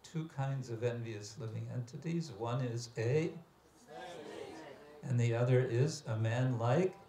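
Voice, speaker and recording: A man delivers a religious lecture at a slow, measured pace. He reads scripture and comments on it with emphatic phrasing and pauses, putting questions to his listeners. The room is echoey, with faint noise behind him. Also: mid-range voice, warm tone. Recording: echoey room with background hiss and ambience.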